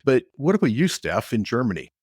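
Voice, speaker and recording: A man's voice: mid-range voice, big pitch swings, a little fast, no echo, studio quality. A man hosting a podcast, asking his guests questions in a friendly, easygoing way. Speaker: podcast host. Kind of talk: casual podcast interview.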